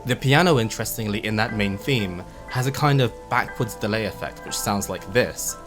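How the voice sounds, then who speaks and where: A man narrating a video essay in an explanatory, measured way with emphatic phrasing and casual asides. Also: on the low side. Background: background music.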